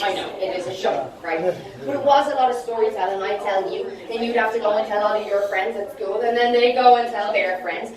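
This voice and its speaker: Irish lady tour guide, kinda high voice, chatty, keeps asking the group stuff, sounds far off in a really echoey room. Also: noisy background. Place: indoor museum exhibit.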